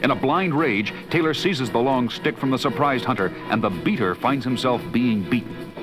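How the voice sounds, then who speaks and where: An actor playing a grave, suspenseful adventure narrator, portentous and theatrical in scripted storybook style. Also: male voice, mid-range voice, expressive, moderate pace, music in the background, natural voice.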